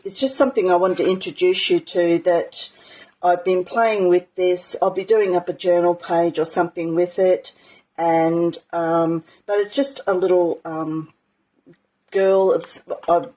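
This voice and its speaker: A woman teaching a live art journaling session in a casual, rambling way, with many ums and a slightly croaky voice. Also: on the low side, mellow, rough recording. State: croaky, clearing her throat. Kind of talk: casual live craft demonstration.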